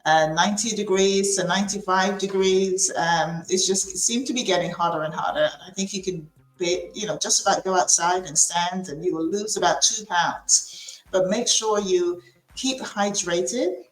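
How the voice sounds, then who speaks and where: A woman's voice: lowish voice, moderate pace. A woman hosting a podcast interview, chatting casually with lots of fillers and restarts. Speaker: podcast host. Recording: echoey room.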